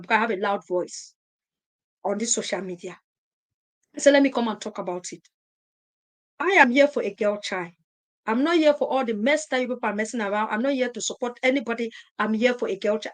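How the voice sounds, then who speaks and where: A woman hosting a live stream, talking emphatically, repeating her points and firing rhetorical questions. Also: a little high. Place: at home in Europe.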